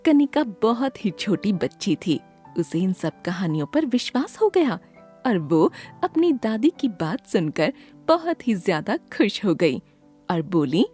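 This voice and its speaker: Female storyteller, scripted children's tale, expressive swooping pitch, husky tone, soft music behind. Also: a little slow.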